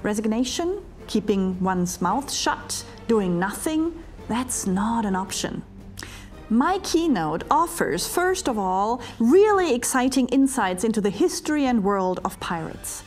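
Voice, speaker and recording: Lively, deliberate middle-aged woman speaker. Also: on the high side. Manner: emphatic, deliberate delivery with dramatic pauses. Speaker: keynote speaker and leadership coach. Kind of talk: scripted promotional monologue to camera. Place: studio before a green screen, edited onto a virtual ship deck. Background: faint music bed.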